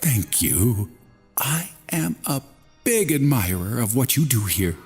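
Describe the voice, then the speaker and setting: A man's voice: mid-range voice, very animated, takes it slowly, darkish tone, breathy edge, long reverb tail, faint music behind, pitched above the performer's own voice, full character voice. A man voicing an eager, deferential male recruit who feigns naivety in a horror story. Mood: eager, admiring.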